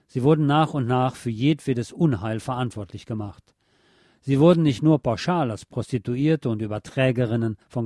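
A man reading a scripted historical feature as a radio presenter, in a measured, factual documentary manner. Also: medium pitch, mellow, no echo, studio quality. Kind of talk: scripted narration.

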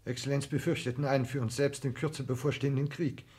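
A man's voice: medium pitch, flat delivery, fast talker, a little mellow, slightly rough, close to an everyday voice. A man playing a deferential, earnest private secretary in a 1960s German radio drama, speaking formally and carefully. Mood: deferential, uneasy. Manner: formal, subservient, careful wording.

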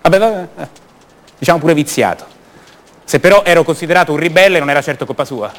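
An actor playing a passionate, rebellious young poet from a rich family in a radio theatre monologue, confiding and halting, repeating his words. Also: fairly high pitch, natural voice. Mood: frustrated, confiding.

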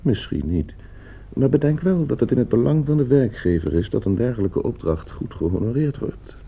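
An actor playing a coldly scheming gentleman heir in a radio play, suave, calculating and condescending. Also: medium pitch, very animated, moderate pace, gravelly, breathy edge, exaggerated voice. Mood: smoothly calculating. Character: coldly scheming, broke gentleman heir plotting his uncle's murder. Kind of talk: Dutch radio play acting. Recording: muffled old radio play recording.

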